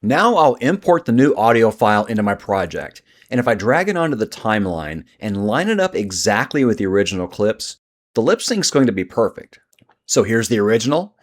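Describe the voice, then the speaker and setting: A man narrating a scripted software tutorial as its host. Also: fairly low pitch, big pitch swings, brisk.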